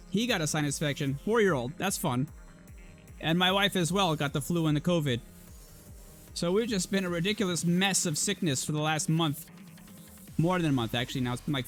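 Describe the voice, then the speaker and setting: A hoarse man in his thirties casting an esports league livestream, chatting casually with viewers while filling time. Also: high-pitched, a bit bright. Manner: casual, chatty, addresses chat.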